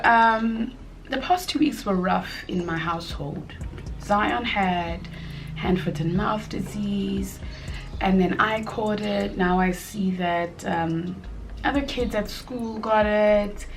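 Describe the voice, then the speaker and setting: A woman around thirty with a slightly husky voice, chatting casually at home while doing her makeup, rambling with frequent ums and likes. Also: medium pitch, slight background noise. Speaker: beauty vlogger and mother.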